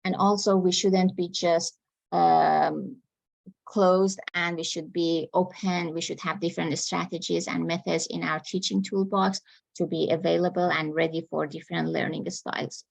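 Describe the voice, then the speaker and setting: A woman's voice: on the low side, little pitch movement, moderate pace, gravelly. A woman presenter closing her academic conference talk, polite and hesitant with many fillers.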